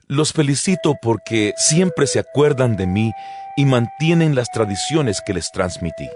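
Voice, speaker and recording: An actor playing an apostle earnestly exhorting believers in a dramatized, measured scripture reading. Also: male voice, mid-range voice. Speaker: Bible audio narrator. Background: background music.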